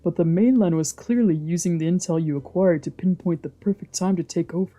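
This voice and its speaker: A woman's voice: lowish voice, fairly quick, mellow, faint music behind, close to an everyday voice. A woman voicing a stern, angry man in a visual novel, with an accusing growl. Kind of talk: visual novel character reading.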